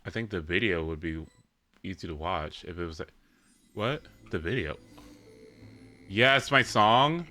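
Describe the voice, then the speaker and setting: A man giving casual, excitable gaming livestream commentary, exclaiming and swearing freely as he plays. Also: sits low, a little slow, long pauses, a little hiss or hum. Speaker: live streamer playing a video game.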